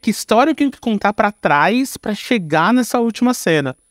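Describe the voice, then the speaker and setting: A man's voice: high-pitched, very animated, normal speed, no room sound, studio quality. A man with a Brazilian accent chatting casually as an interview guest, informal and slangy, often adding little tag questions. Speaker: podcast guest, screenwriter. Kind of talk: casual conversational interview answers.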